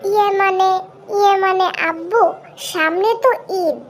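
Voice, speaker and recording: A very high, squeaky cartoon child, with a Bangladeshi accent. She is a cheeky, pushy little girl who chatters and whines insistently. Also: fairly even intonation, measured pace, a little hiss or hum. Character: pushy, cheeky young girl begging for Eid shopping money. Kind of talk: comic cartoon dialogue.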